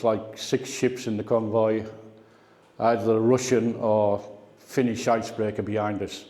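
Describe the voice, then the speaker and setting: A man's voice: medium pitch, dark tone. An older man giving an informal slide talk of personal reminiscences, explaining things in a conversational way. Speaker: guest speaker at a radio club. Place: indoor club meeting room. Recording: echoey, reverberant meeting room.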